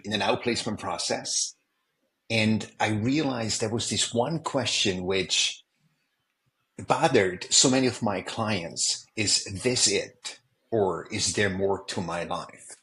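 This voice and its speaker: A man from Switzerland speaks as a guest on a remote podcast, sitting a bit low in pitch. He is earnest and reflective, and he acts out past conversations as he tells them. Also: pushed and bright, rough recording. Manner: earnest, reflective, quotes others in dialogue. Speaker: executive coach, guest. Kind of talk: podcast interview, storytelling. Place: remote call.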